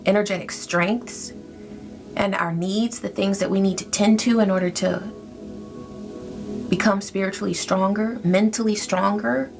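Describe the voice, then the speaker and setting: A woman giving a spiritual tarot reading in a soft, reflective, gently encouraging way. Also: mid-range voice, fairly even intonation, a little slow, vocal fry. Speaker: tarot reader. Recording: faint music behind.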